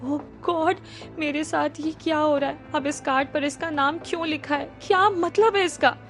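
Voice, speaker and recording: A man's voice: extremely high pitch, normal speed, a bit bright, pitched above the performer's own voice. Woman doing a panicked, pleading young man. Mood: inner panic. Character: frightened young man wrongly accused, pleading.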